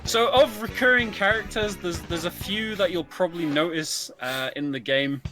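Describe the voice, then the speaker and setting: A man giving casual, explanatory co-commentary on a video game in a halting, conversational way. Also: sits high. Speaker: co-commentator at a speedrun event. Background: video game music.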